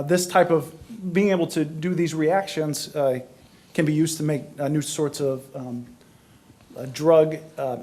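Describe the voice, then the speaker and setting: A male university lecturer with a somewhat high voice explains science to an audience. His delivery is halting, and he often searches for the next word as he works through the explanation. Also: a little mellow.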